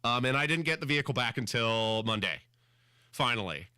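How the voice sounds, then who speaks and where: A man's voice: mid-range voice, animated, a little fast, sharp tone, clean tone, no room sound, studio quality. A male radio talk show host with a slight cold, telling a story in an exasperated, sarcastic way with dramatic asides. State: fighting a cold, a little scratchy. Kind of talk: casual on-air storytelling. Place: radio studio.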